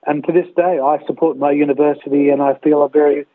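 Sixties guy on a phone line, bit high voice, slow storytelling w lots of uhs, sounds tinny. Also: level tone, no room sound. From Australia. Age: 60s. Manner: measured, storytelling, frequent uh pauses. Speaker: interview guest. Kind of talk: reflective interview answers.

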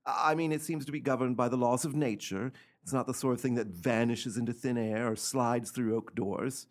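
An actor playing an imperious, irritable invalid man in a radio play, bossy and impatient with fear underneath. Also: medium pitch.

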